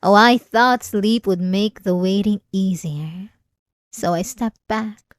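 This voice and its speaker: An ancient vampire woman, acted by a female performer speaks slowly in a close, dry ASMR roleplay. She is possessive and seductive, drawing out her phrases with theatrical, teasing menace and a lonely ache. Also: mid-range voice, no echo, lightly acted. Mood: obsessive, yearning. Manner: dramatic, teasing, drawn-out delivery with breathy asides.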